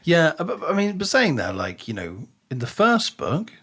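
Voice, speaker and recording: A man's voice: on the high side, wildly swooping pitch, moderate pace, a bit bright, husky. A man co-hosting a podcast, casually discussing a book and acting out reactions as he retells it.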